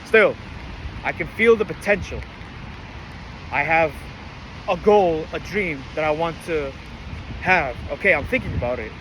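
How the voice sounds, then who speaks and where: A man's voice: fairly high pitch, lively intonation, a little slow, long pauses, a bit bright, a little hiss or hum, rough recording. A young man of about nineteen, rambling earnestly to the camera in a casual monologue, with many fillers and restarts, recorded outdoors in the rain. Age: late teens. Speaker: vlogger.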